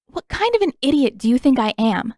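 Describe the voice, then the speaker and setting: A woman's voice: sits high, big pitch swings, fairly quick, dark tone, no echo, clean studio sound, voice pushed up, heavily acted. A woman voicing a defiant, hot-tempered teenage girl with a sarcastic, indignant manner in an audiobook. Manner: indignant, mocking, emphatic. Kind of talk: audiobook character acting.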